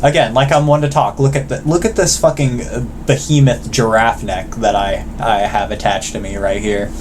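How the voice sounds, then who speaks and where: A man's voice: mid-range voice, steady tone, normal speed, background noise. A man hosting casual reaction commentary, joking and self-deprecating, with loose, sweary phrasing. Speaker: video host.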